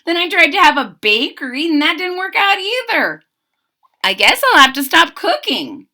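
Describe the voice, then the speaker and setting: A woman reading a storybook aloud, voicing a flustered, kindly male baker who exclaims and frets in a high voice. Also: big pitch swings, normal speed, bright, forceful tone, exaggerated voice. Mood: fretful.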